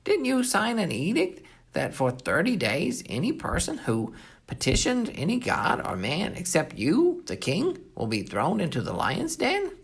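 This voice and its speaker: Scheming, insistent royal official with a gravelly edge acted by a storyteller. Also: mid-range voice, wildly swooping pitch, unhurried, voice pushed up, full character voice. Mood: accusing, insistent. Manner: formal, insistent. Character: scheming royal officials, flattering and accusing a king.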